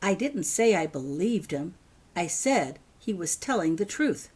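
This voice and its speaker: A woman voicing a clever young daughter who is calm, insistent and persistent, in a story reading. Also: on the low side, a little slow, breathy edge, exaggerated voice.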